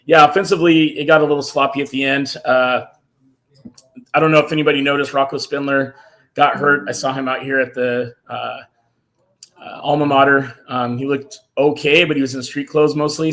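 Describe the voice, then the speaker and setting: A man hosting a casual fan live stream, excited and a little hoarse from cheering, reading out viewer comments. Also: mid-range voice, fairly quick. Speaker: fan show host.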